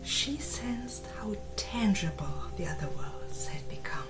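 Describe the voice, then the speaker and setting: A woman reading a literary story as an audiobook narrator, in a breathy, hushed, dramatic storytelling manner. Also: mid-range voice, animated, unhurried, whispered, loud music behind the voice.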